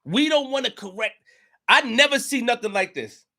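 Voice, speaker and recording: A man delivers a passionate monologue on his own show. His voice sits very high and cuts sharply, and he hammers his points home with repetition and bursts of shouting. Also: lively intonation, normal speed. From New York. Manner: emphatic, repetitive, rising to shouts. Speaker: show host. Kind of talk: impassioned commentary monologue.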